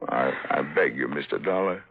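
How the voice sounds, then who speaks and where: An actor playing an anxious, guilt-ridden older businessman in 1950s radio drama style, halting and pleading, with formal wording. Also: rumbling low, slightly rough, faint music behind, heavily acted. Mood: desperate, hesitant. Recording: dull vintage 1950s radio recording.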